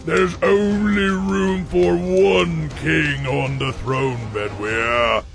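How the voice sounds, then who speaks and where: A villainous cartoon dragon king with a very slow, grandiose delivery. The voice is somewhat high for a man and has a gravelly creak, full of haughty menace. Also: steady tone, over music, exaggerated voice. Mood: smug, threatening.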